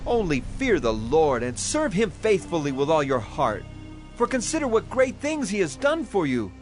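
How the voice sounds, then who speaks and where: A man's voice: a little high, huge pitch swings, moderate pace. An actor playing an aging, righteous prophet addressing his people in solemn, declamatory dramatized scripture. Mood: reassuring, firm. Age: older. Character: aging male prophet, solemn, righteous, stern yet caring. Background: music.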